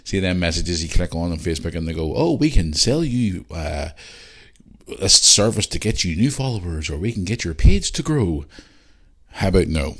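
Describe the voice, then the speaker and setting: Man, Northern Irish accent, low-pitched voice, informal podcast host, casual chat, chatty and unpolished. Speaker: podcast host answering listener questions. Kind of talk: casual chat and reading out messages.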